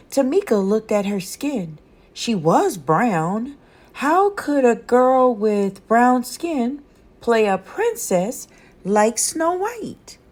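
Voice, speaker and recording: A woman reading a children's picture book aloud in an expressive storytime style. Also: medium pitch. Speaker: storytime reader.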